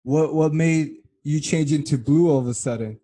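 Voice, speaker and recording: A man's voice: on the high side, moderate pace, warm tone. A man hosting a podcast in a casual, chatty interview style, with a teasing, joking manner. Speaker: podcast host.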